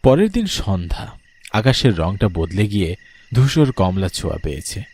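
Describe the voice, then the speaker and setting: A man's voice: low voice, very animated, normal speed, a little mellow, slight background noise. A man reads a scripted ghost story as its narrator.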